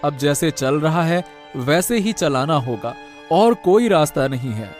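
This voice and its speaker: A helpless village husband from an animated moral tale, acted by a man. He complains and pleads in a high voice, with soft music underneath. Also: a little slow. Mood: apologetic. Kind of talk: Hindi animated moral story dialogue.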